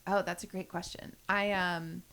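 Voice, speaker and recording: A woman's voice: on the low side, little pitch movement, moderate pace, fairly bright tone. A woman from California, a comedian guest on a podcast, answering in a hesitant, easygoing way with many restarts. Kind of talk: casual interview chat.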